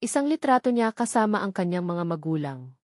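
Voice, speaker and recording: A woman's voice: mid-range voice, very animated, brisk, darkish tone, no echo, clean studio sound. A woman with a Filipino accent reading a scripted, dramatic love story as its narrator.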